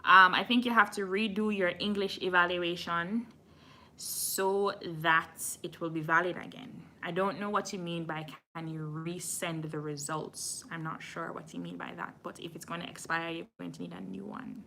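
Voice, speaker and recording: A woman's voice: fairly low pitch, steady tone, moderate pace, gravelly, pushed and bright, slight background noise. A woman from Jamaica hosting a casual live stream, answering viewer questions frankly and admitting when she doesn't know. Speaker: immigration channel host.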